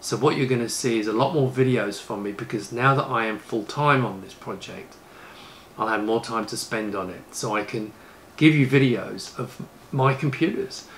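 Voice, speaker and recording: A man's voice: mid-range voice, moderate pace, fairly bright tone. Man in his fifties, noisy recording. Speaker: YouTube presenter and computer case maker. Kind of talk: casual retro computing vlog with product pitch. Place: indoor rooms with shelves of retro computers.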